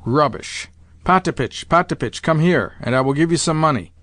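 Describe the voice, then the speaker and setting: A man's voice: fairly high pitch, animated, normal speed, fairly bright tone, no room sound, studio quality. A man voicing an imperious, impatient old grandmother in an audiobook, full of commands and exclamations. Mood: impatient, scornful. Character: imperious, excitable old Russian grandmother addicted to roulette. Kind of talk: audiobook character voice.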